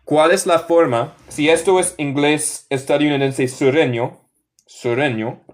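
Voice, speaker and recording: A young man with an American Midwestern accent teaches English to Spanish speakers in a live online class. He speaks Spanish as a second language and keeps a slow, patient pace, repeating each example sentence several times so learners can follow. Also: fairly high pitch, fairly even intonation. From northern United States.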